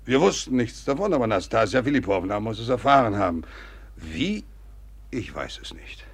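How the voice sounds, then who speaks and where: A man's voice: on the low side, sing-song, moderate pace, husky, exaggerated voice. An actor playing a fatherly older general in a 1950s radio play, talking in a confiding, rambling way. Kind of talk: 1950s radio drama acting.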